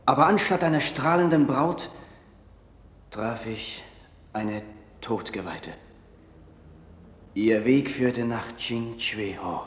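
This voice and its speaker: An actor playing a grave bearer of sad news in a religious audio drama, speaking in a solemn, measured way. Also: male voice, mid-range voice, lightly acted. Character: grave man bearing sad news of a doomed bride. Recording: echoey hall, faint noise, vintage vinyl record.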